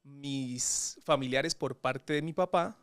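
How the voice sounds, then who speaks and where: A Colombian man, a lawyer being interviewed, talking in a relaxed, thoughtful and measured way with a Colombian accent. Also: mid-range voice, clear, resonant tone. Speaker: guest lawyer. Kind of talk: conversational interview.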